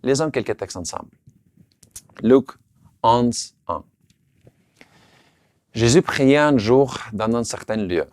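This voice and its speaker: A man who speaks French, his language, giving an earnest, measured sermon. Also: medium pitch, expressive, darkish tone, no room sound, clean studio sound. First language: Russian. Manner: earnest, measured, slight restarts on words. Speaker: preacher.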